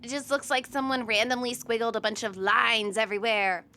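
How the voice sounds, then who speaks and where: An actress playing a squeamish, playful young rink worker in a comedy audio drama. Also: female voice, fairly high pitch, steady tone, brisk, bright, forceful tone. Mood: exasperated. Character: young woman rink worker, squeamish true-crime fan, playful friend.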